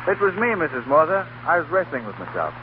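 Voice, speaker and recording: An actor playing a teasing young doctor with a joking, offhand manner in a 1930s radio drama. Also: male voice, fairly high pitch, very animated, fast. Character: breezy, teasing young doctor, engaged to be married. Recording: tinny 1930s radio broadcast, faint hiss.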